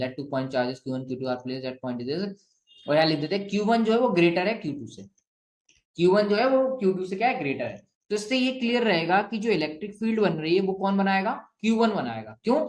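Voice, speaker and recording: Indian male teacher in an echoey room. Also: fairly high pitch. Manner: asks and answers own questions, frequent check-ins; slowly dictates written sentences. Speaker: physics teacher. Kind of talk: step-by-step classroom lesson mixing Hindi and English.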